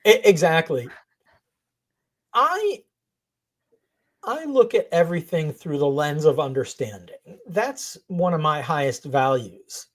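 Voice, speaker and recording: Low-voiced earnest woman coach. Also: sing-song. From grew up in Israel. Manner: earnest, laughs often, slow and thoughtful. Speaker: coach, interview guest. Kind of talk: reflective interview talk.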